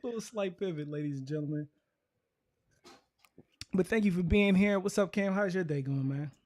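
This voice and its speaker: A man's voice: high voice, moderate pace, darkish tone, dry recording, clean studio sound. A man hosting a live show in a casual, colloquial, chatty way. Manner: informal, colloquial. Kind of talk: casual livestream chat.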